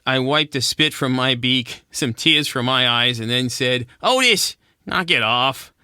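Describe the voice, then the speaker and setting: A man's voice: medium pitch, expressive, unhurried, bright, forceful tone, clean tone, no echo, studio quality. A man playing a hard-boiled penguin private eye, narrating a noir radio drama in wisecracking slang. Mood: world-weary.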